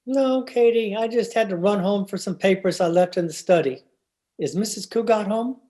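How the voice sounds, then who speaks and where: Woman playing a mellow, sheepish husband. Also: medium pitch, normal speed, natural voice. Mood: concerned. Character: concerned, mildly sheepish young-ish husband. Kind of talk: 1940s radio comedy acting.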